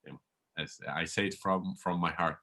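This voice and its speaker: A man hosting an interview podcast, asking questions in an earnest, measured way. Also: sits low, fairly even intonation, pushed and bright. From Turkey. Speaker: podcast host.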